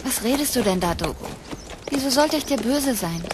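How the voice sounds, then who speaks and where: A woman's voice: fairly high pitch, lively intonation, normal speed, background noise, close to an everyday voice. A woman playing a blunt, feisty but loyal young dragon rider in a fantasy audio drama. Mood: reassuring, loyal. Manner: blunt, slangy, sarcastic.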